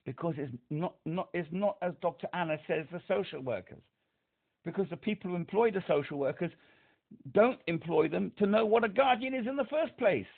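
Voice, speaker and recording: A man's voice: high-pitched, animated, fairly quick, creaky. Insistent man with a speech impediment. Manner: insistent, emphatic, repeats himself. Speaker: caller to a helpline. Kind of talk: phone call. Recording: tinny phone line.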